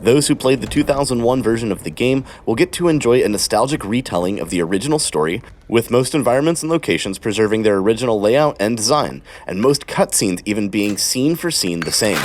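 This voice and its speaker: A man with a fairly low voice narrates a video game guide from a script. His delivery is measured and explanatory, with faint noise and game ambience behind him. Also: lively intonation.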